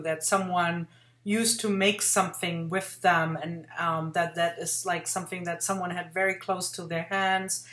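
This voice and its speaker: A woman's voice: lowish voice, fairly bright tone. A woman in her forties hosting an interview over a video call, chatty and enthusiastic, rambling with lots of fillers. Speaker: host. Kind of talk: casual interview chat. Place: home room indoors. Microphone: webcam on video call. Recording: roomy webcam sound.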